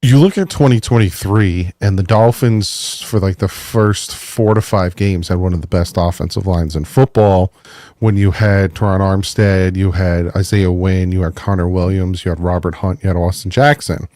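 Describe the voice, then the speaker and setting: A man's voice: low voice, steady tone, moderate pace, mellow, no echo, studio quality. A man in casual sports chat, giving conversational analysis of team moves.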